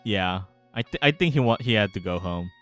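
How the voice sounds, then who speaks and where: A man's voice: fairly low pitch, expressive, fairly quick, fairly bright tone. A man co-hosting a livestream in loose, casual chat. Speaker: livestream co-host. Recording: dry voice with music behind it.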